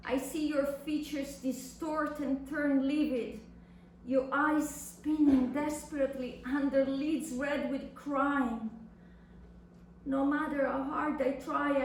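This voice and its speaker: Echoey, high-voiced woman acting an anguished daughter. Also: darkish tone. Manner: impassioned, deliberate, theatrical delivery with long pauses. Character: anguished young adult daughter addressing her suffering mother. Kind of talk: dramatic literary monologue reading on stage. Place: reverberant indoor venue at a live reading. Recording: echoey room, distant mic, faint noise.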